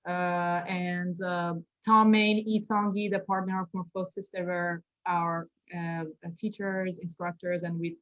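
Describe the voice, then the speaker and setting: A woman in her thirties from Iran with a Persian accent, speaking casually and a little haltingly as an interview guest. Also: fairly low pitch, flat delivery, a bit bright, highs cut off. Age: 30s. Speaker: architect, award-winning interview guest.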